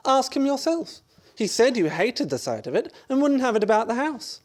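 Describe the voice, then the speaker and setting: Blustering husband in an acted part for radio farce: sarcastic, indignant, animated intonation. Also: mid-range voice, normal speed, natural voice. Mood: dismissive. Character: exasperated, defiant married man, quick to retort. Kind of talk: stage comedy performed as radio play.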